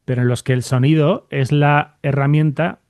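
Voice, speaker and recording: A man with a soft-edged, mellow voice hosts an interview in a very dry recording. He builds his questions slowly and reflectively, hesitating and checking with short tag questions. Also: fairly high pitch, fairly even intonation, dry recording. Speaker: podcast host, interviewer. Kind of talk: conversational interviewing.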